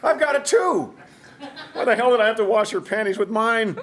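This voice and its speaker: Slobbish, sardonic spaceman, late forties, played by a man, comedy table read, very animated intonation. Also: high-pitched, moderate pace. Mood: horrified. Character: slobbish sarcastic late-forties male astronaut.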